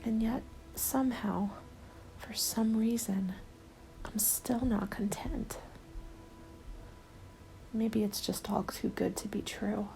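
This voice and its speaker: Slow, whispering woman narrating an ASMR story. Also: a little high, steady tone, low-quality recording. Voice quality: soft, breathy, mostly whispered. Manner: slow, hushed, deliberate storytelling. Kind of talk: ASMR scripted first-person story narration. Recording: soft music under the voice. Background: instrumental music.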